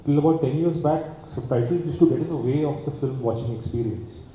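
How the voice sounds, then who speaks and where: A man's voice: medium pitch, brisk, warm tone, highs cut off. Reflective man, echoey noisy hall. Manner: reflective, articulate, thinking aloud. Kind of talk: press conference answers. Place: press meet hall. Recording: echoey hall with background noise.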